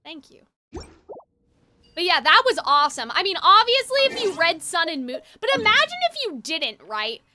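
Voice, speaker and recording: A woman's voice: very high voice, very animated, normal speed, background noise. A woman game streamer chatting casually, musing aloud in a rambling way over game music. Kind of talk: casual livestream chat. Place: livestream over a video game.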